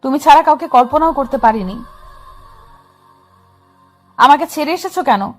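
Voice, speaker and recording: A woman's voice: high-pitched, animated, moderate pace, soft background music. A woman playing a bossy, possessive and lovesick young girlfriend with a demanding, scolding manner. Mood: commanding.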